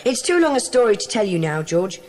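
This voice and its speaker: A woman's voice: medium pitch, sing-song, brisk, natural voice. A woman playing a bossy, cheerful schoolboy who leads a secret society in a children's audio drama, earnest and decisive. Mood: urgent, decisive.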